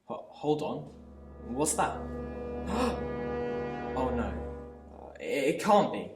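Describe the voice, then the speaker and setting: A man's voice: medium pitch, big pitch swings, drawn-out words, reverberant, loud music behind the voice. A teenage boy playing a timid, meek grown son who stammers and hesitates. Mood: astonished. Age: teens.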